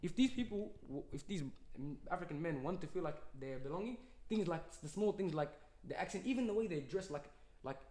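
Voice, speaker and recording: A man's voice: on the high side, expressive, brisk, hardly any pauses, warm tone. A young man with an Australian accent making a persuasive case in a casual podcast chat. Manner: earnest, persuasive, builds arguments. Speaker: podcast host.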